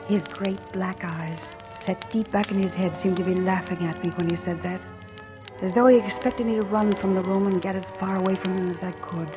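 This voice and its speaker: A woman acts a timid young heroine of an old radio mystery. She speaks softly and hesitantly in a mid-range voice, with quiet devotion. Music plays clearly behind her on the vintage recording. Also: medium pitch, steady tone, fairly quick, natural voice. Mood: hushed narrating. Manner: hesitant, soft-spoken. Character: timid but devoted young woman, new household employee. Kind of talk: 1940s radio drama acting with narration.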